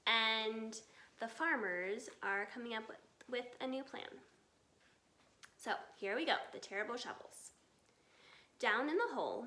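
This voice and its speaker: A woman reads a children's story aloud with expression. Her voice is high and bright, and she takes her time over the words. The room adds a noticeable echo. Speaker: storybook reader. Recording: echoey, reverberant room.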